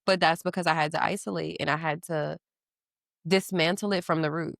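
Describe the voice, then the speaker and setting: Low-pitched woman, 30s, chatty podcast guest, laughs a lot, dry close sound. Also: fairly quick, creaky, dry recording. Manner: candid, animated, laughs often, slangy. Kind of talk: casual interview chat.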